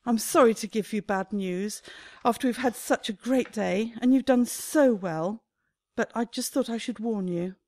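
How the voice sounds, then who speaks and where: An actress playing a brisk, self-important female shop owner in a radio comedy, haughty and expressive. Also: a little high, no room sound, studio quality, lightly acted. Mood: concerned. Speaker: actor in amateur radio play.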